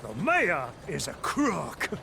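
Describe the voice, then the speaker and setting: A man's voice: fairly high pitch, huge pitch swings, slow, gravelly, pushed and bright, a little hiss or hum, exaggerated voice. An actor playing a haughty, indignant rich newspaperman in a Western video game, pompous and affronted. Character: haughty, indignant wealthy male newspaper owner. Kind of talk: video game cutscene acting.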